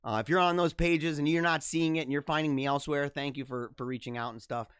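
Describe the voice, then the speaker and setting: A man's voice: fairly high pitch, fairly even intonation, fast talker, a bit bright, smooth, no echo, clean studio sound. A man hosting a live-streamed talk show, chatting casually with viewers and reading their comments. He chuckles often and repeats phrases as he talks.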